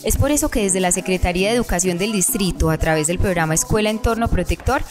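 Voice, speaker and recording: A woman's voice: on the low side, fast. Woman narrating news over music.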